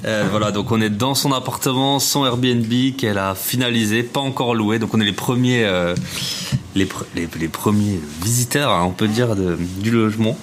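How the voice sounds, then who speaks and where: A man hosts a podcast interview in an apartment, talking loosely and casually. His voice sits on the low side and he fills gaps with hesitations and restarts. A faint background hiss runs under the recording. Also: normal speed. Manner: loose, many fillers and restarts. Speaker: podcast host. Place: apartment rental abroad.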